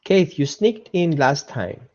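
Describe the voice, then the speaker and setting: A man's voice: a little high, very animated, slow, a little mellow, dull audio. A man with an Austrian accent hosting a casual live dog-training webinar, chatting with viewers, repeating himself and greeting people by name.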